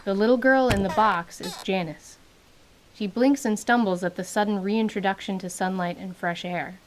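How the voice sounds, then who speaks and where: A woman narrating a tabletop adventure as game master, in an even, wry storytelling manner. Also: medium pitch, moderate pace, slight background noise, low-quality recording.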